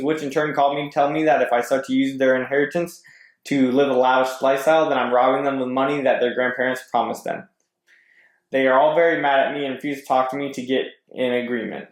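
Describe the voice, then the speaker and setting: A man guesting on a podcast, reading posts aloud and chatting casually with some hesitant stumbles. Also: mid-range voice. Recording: roomy echo.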